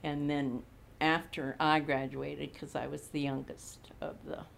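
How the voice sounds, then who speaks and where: A woman in her eighties from Montana, recalling her childhood slowly in an oral history interview. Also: deep voice, level tone, creaky, a little hiss or hum. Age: 80s. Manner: slow, unhurried recollection with frequent pauses. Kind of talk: reminiscing in a recorded interview.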